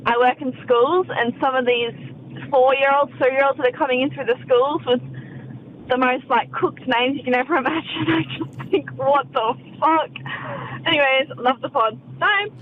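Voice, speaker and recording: A woman's voice: high-pitched, moderate pace. A woman leaving a casual listener voice message over a thin, noisy phone recording. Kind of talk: casual chat. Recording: tinny phone message with vehicle noise.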